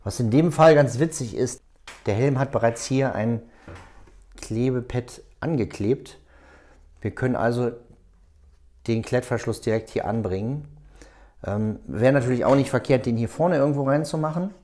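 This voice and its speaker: A man with a soft, dark-toned voice talks through a practical task as he does it. He explains each step without a script, in a halting way, as the host of a tutorial. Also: medium pitch. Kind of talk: hands-on tutorial, talking while working.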